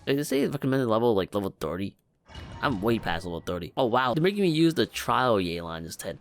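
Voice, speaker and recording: A man's voice: mid-range voice, big pitch swings, fairly quick, vocal fry. A young man giving casual let's play commentary, chatty, slangy and amused. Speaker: gaming YouTuber.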